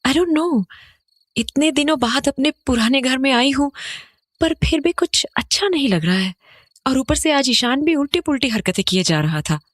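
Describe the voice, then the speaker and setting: An uneasy mother played by an actress in a horror audio drama. Her voice is fairly high and very animated, questioning and conversational, recorded close and dry. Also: normal speed, no room sound. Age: adult. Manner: questioning, conversational, Hindi mixed with English. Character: uneasy mother and wife, returning to her old home.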